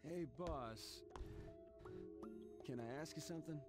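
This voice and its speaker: A man's voice: a little high, slow pace. An actor playing a desperate young man, agitated and blunt, in a video game cutscene. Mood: suspicious. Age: young adult. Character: desperate, frazzled young man demanding the truth. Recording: loud background music. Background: game music.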